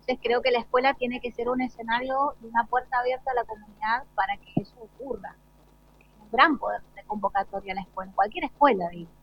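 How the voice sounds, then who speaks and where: A woman's voice: fairly high pitch, fairly even intonation, quick pace, breathy edge. An Argentine woman speaking as a school director in a spontaneous interview, earnest and explanatory. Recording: dull lo-fi live radio recording.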